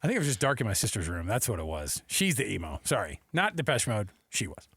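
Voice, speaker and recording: A man's voice: on the low side, very animated, quick pace, fairly bright tone, breathy edge, no room sound. An American man hosting sports talk radio with casual banter, speaking into a close studio microphone.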